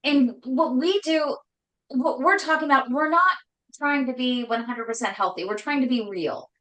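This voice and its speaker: A woman hosting a casual live cooking show from her home kitchen, talking loudly and emphatically with a voice that carries. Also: high voice, moderate pace, echoey room, low-quality recording. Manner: loud voice that carries, chatty and emphatic. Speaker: host cooking on a live stream.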